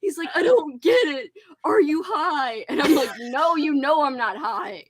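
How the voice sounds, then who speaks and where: Giggly high-voiced woman hosting a stream. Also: lively intonation, a little slow. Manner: giggly, rambling storyteller. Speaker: stream host. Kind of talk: casual group chat on a livestream.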